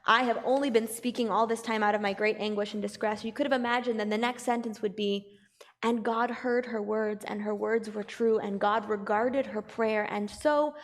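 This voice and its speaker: A woman's voice: fairly high pitch, fairly even intonation, normal speed. A woman in a study class explaining her reading of a text in a reasoned way. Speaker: class participant. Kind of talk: class discussion. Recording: long reverb in a large hall.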